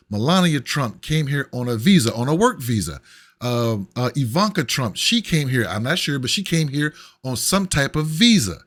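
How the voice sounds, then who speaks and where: A man giving heated, blunt political commentary, profane at times and often repeating himself. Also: medium pitch.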